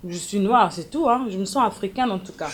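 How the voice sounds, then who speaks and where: A woman's voice: medium pitch, expressive, fairly quick. A woman who grew up in Congo, speaking as a guest in a casual discussion, direct and emphatic, repeating her main points.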